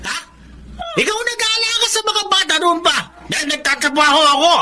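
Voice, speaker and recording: An actor playing a defensive, hot-tempered middle-aged father arguing loudly and indignantly in a radio drama. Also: very high-pitched, lively intonation, brisk, background noise.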